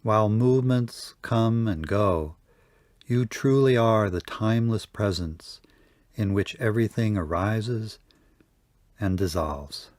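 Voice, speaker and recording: A man leading a guided yoga nidra meditation, speaking softly and calmly with long pauses. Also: on the low side, no room sound, studio quality. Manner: slow, soft, calm guiding with long pauses. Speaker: meditation teacher.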